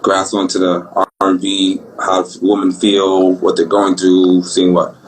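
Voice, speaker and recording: A young man with a Brooklyn accent, an R&B singer talking about his life in a relaxed, rambling, slangy way. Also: deep voice, unhurried, poor audio. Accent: Brooklyn New York. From Brooklyn, New York. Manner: laid-back, rambling, slangy. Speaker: singer guest. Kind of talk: casual interview talk.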